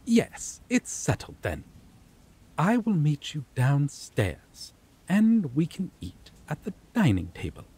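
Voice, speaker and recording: A man's voice: fairly high pitch, wildly swooping pitch, warm tone, slightly rough, full character voice, poor audio. A man playing a possessive, coldly polite vampire captor in an ASMR roleplay, speaking formally and theatrically with menacing affection. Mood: polite, threatening. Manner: slow, formal, theatrical, endearments laced with threat. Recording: rain and thunderstorm noise behind. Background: rain and thunder.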